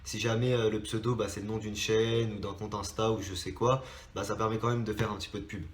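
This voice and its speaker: A man's voice: on the low side, flat delivery, fast, roomy sound. French man with a stuffy, blocked nose. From France. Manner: rambling, many hesitations and restarts. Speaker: drawing channel host. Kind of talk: casual chat to subscribers.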